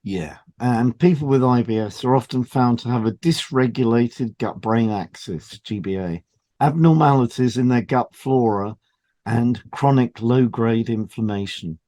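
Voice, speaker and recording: A man co-hosting a podcast, reading scripted health information in a measured, explanatory way. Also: mid-range voice, dark tone. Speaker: podcast co-host and hypnotherapist. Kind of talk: scripted educational podcast, read aloud.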